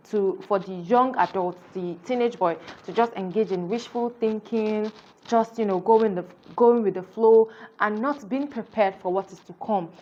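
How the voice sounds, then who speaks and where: A woman talks through a book in a casual, earnest review, explaining each chapter and leaning on "you know" as she gathers her thoughts. Her voice sits in an ordinary middle range. The recording is a little dull and lo-fi. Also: medium pitch.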